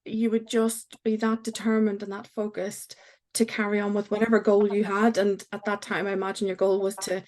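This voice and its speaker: A woman's voice: mid-range voice, flat delivery, moderate pace, darkish tone. A woman hosting a podcast interview, talking in an enthusiastic, affirming way. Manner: enthusiastic, affirming, laughs often. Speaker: podcast host. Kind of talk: conversational interview.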